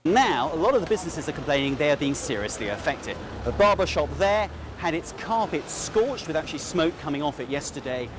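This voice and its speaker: A man's voice: a little high, very animated, a little fast, noisy background. A man giving an on-location news report as a video reporter.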